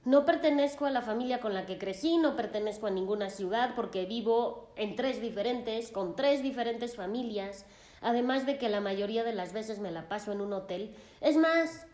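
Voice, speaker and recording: A woman's voice: fairly high pitch, normal speed, mellow, lightly acted. A woman voicing a troubled, self-absorbed adopted young man who speaks in a dramatic, self-pitying and boastful way. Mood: wounded. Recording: roomy echo.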